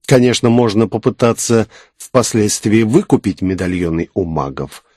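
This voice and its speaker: A man's voice: lowish voice, expressive, normal speed, a little mellow, no room sound, studio quality. A man reading a scripted first-person fantasy story as an audiobook narrator.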